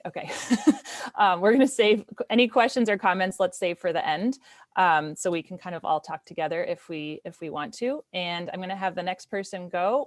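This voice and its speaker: A woman's voice: fairly low pitch, dry recording, clean studio sound. A woman in her thirties, an instructor hosting student presentations on a video call, talking in a friendly, chatty way with easy laughter. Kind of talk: casual class moderation. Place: home room indoors. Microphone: earbuds on a video call.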